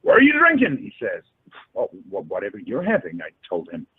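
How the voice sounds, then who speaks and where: A man's voice: fairly low pitch, wildly swooping pitch, fairly quick, breathy edge, full character voice. A man acting an earnest, hesitant young New York suitor in a radio play, in muffled audio. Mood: awed, boastful. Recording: muffled lo-fi audio with music behind.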